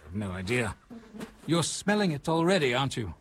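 A man with a London accent playing a gentle, welcoming male nurse who runs a leper settlement, in an audio drama. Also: medium pitch, sing-song, fairly quick, a little hiss or hum, close to an everyday voice. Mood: friendly. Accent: London English.

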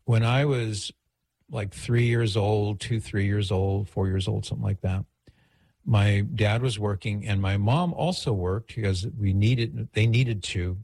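Low, crisp-voiced middle-aged radio host, American man. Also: unhurried, a little mellow, dry recording. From United States, Los Angeles area. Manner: conversational, rambling asides. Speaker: radio call-in show host. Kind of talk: casual talk radio, reading listener email and reminiscing. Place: radio studio.

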